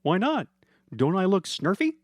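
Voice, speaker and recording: A cheerful, oblivious baker husband from a comic audio story, put on by a man narrating. He sounds goofy and carefree, his voice raised and animated. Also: high-pitched, moderate pace, dry recording, studio quality, pitched above the performer's own voice.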